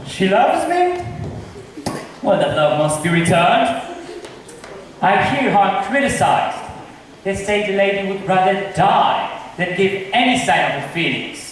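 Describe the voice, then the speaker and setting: Man acting a very high, lovestruck bachelor, echoing. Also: very animated, distant mic. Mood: smitten, boastful. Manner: halting, exclamatory delivery. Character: confirmed bachelor, comically lovestruck and vain. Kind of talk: amateur school stage soliloquy. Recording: echoey hall from afar, clear noise.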